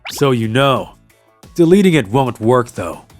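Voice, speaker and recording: A man's voice: medium pitch, sing-song, measured pace. Casting: man as menacing possessive ex, threatening delivery, manga drama villain, music faintly behind. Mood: threatening, smug. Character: menacing, possessive ex-boyfriend, a stalker.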